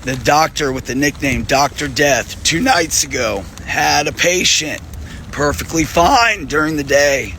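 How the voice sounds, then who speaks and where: A hoarse male nurse, losing his voice, giving an angry, profane video appeal and clearing his throat often. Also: a little high, unhurried, bright, forceful tone, a little hiss or hum. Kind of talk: direct-to-camera video appeal.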